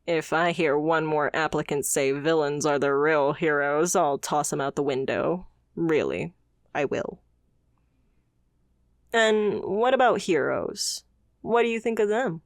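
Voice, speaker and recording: A woman acts out a supervillainess in an intimate ASMR roleplay. She speaks low and slowly, with confident, condescending poise and a teasing, amused edge. The sound is dry, with hardly any room in it. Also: dry recording, exaggerated voice. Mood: businesslike, amused. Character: confident female supervillain boss, haughty yet playfully amused.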